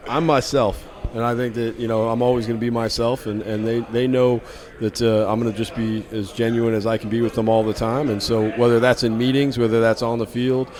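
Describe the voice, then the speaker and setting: A man's voice: mid-range voice, level tone, a little fast. A man who coaches a college football team, talking candidly in a media day interview, often repeating and restarting words. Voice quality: loud voice when needed. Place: hotel at a media event.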